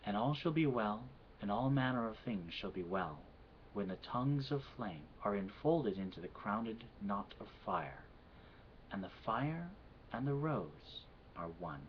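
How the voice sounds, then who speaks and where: A measured, soft-spoken man with a Midwestern accent, his voice sitting a little low. He pauses with hesitant "um"s between casual answers and reads a poem slowly. The home recording is quiet, dull and slightly lo-fi. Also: lively intonation, slight background noise. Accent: Midwestern American. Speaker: vlogger answering an accent challenge. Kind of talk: casual answers and slow poetry reading.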